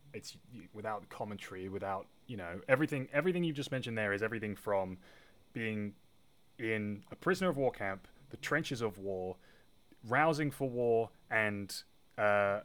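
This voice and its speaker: Guy with a fairly low voice, co-hosting a relaxed chat, thinks out loud. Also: normal speed. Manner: thinks aloud, checks his phrasing with listeners. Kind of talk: casual discussion.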